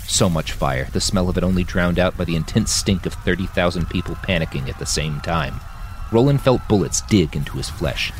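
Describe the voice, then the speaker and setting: A man's voice: low voice, dry recording. A man reading scripted fiction as a dramatic audiobook narration for a podcast.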